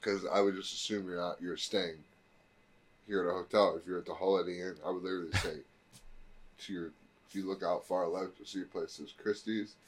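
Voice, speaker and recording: A young man with a low voice, chatting casually on a podcast. He sounds relaxed and laughs easily. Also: fairly quick, poor audio. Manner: relaxed, laughing, giving directions. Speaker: podcast co-host.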